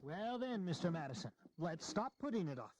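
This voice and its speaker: An actor playing an insistent, eloquent delegate of the founding era in a historical audio drama, formal and emphatic. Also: male voice, sits high, sing-song, fairly quick, darkish tone, a little hiss or hum. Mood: urging.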